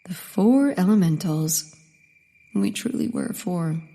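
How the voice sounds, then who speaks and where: A cautious, earnest Victorian woman of science acted by a woman in a comic audio play. The voice is breathy and rough-edged, with lively melodic swings, yet the manner stays dry and literal. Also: lowish voice, takes it slowly, heavily acted. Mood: matter-of-fact. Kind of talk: comedic period audio drama acting.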